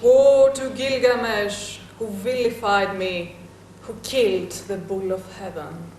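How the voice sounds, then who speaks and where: A woman reading the part of a wrathful, imperious goddess in a dramatic reading, high and shrill. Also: steady tone, slow pace, a little mellow, a little hiss or hum, close to an everyday voice. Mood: wailing. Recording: echoey room, distant mic.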